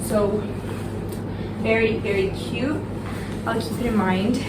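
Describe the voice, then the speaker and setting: A woman's voice: on the high side, steady tone, takes it slowly, very noisy. A young woman in her twenties from Argentina, with an Argentine Spanish accent and Spanish as her first language, chatting casually and tiredly in a rambling vlog. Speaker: vlogger. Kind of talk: casual chat. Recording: music playing in the background.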